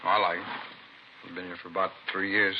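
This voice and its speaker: Guy playing a shifty defensive suspect in an old radio crime show, talks fast, lowish, noisy muffled tape. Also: little pitch movement, close to an everyday voice. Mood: casual, evasive. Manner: evasive, halting, stammering when pressed. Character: evasive, defensive male murder suspect, childlike hobbyist. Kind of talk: 1950s radio drama acting.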